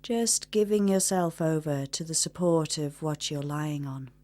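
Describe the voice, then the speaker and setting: Female meditation guide: lower register, very unhurried delivery, gentle and measured instruction. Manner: gentle, measured, with long pauses.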